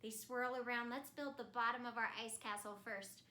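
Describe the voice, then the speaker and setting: A woman's voice: fairly high pitch, steady tone, normal speed, pushed and bright, slight background noise. A woman telling a children's story in the first person as an ice queen, theatrical and speaking simply and directly to children. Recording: roomy echo.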